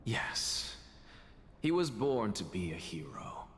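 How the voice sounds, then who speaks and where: A man voicing a sardonic, weary, dying scholar in a video game, speaking in a deliberate, haughty and theatrical way. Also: lowish voice, big pitch swings, a little hiss or hum. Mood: wry, candid. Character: sardonic, dying one-eyed male scholar, weary and candid. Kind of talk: scripted game voice acting. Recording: long hall reverb, loud music.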